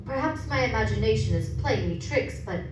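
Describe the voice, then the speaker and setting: A woman's voice: on the high side, animated, brisk, natural voice. A woman playing an anxious, echoey young man. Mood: uneasy. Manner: hesitant, uneasy. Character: polite, anxious young man in a haunted house. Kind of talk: old-time radio drama acting. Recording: distant, echoey room with background noise.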